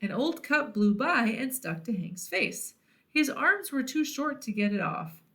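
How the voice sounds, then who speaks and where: A woman narrates a story for young children. Her voice sits a little high and moves up and down in an animated way. The delivery is expressive picture-book reading, addressed to boys and girls. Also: measured pace. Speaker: children's read-aloud host.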